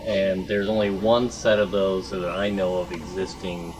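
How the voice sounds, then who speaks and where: A man's voice: low-pitched, little pitch movement, moderate pace. A man speaking up as a knowledgeable audience member in an informal club discussion. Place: club meeting room. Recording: noisy meeting room.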